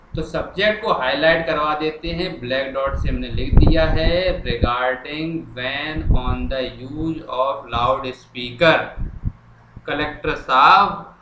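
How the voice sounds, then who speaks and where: A male teacher with a fairly high, bright voice explains material line by line, repeating and translating as he goes. He often asks a question and answers it himself. The room is noticeably echoey, with faint noise behind him. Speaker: English teacher.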